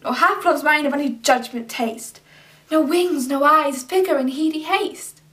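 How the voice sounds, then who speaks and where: A young woman playing a lovesick young woman who speaks Shakespearean verse in a measured, deliberate way, recorded from a distance. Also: high voice, fairly bright tone. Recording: distant camera mic.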